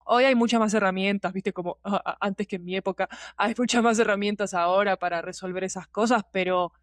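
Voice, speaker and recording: A woman's voice: medium pitch, fairly even intonation, brisk, dry recording, studio quality. A woman with a Latin American Spanish accent that uses vos, talking casually as a podcast guest and checking in with her listener. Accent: Latin American Spanish with voseo. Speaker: guest, podcast fiction producer.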